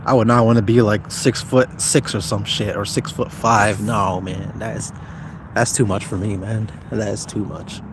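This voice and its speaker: Clear outdoor noise, like traffic, sits behind a young man with a fairly low voice. He chats casually to livestream viewers in a loose, slangy way, often halting to think out loud. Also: fairly even intonation. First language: Somali speaker, as second language. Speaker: YouTuber live-streaming. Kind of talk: casual livestream chat answering viewer comments.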